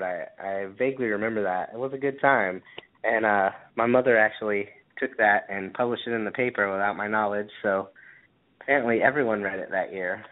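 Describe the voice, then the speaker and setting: A man with a low voice talks casually as an interview guest. The sound is thin and phone-like, as on a call-in radio show. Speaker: interview guest, folk musician.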